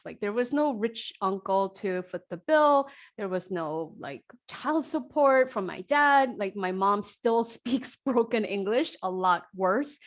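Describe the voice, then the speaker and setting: A woman in her forties with a voice pitched a little high hosts an online coaching call. She talks in a lively, casual way, full of "like" and "uh", into a computer microphone. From South Korea, raised in New Jersey. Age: 40s. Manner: energetic, conversational, frequent fillers and likes. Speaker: executive coach, host.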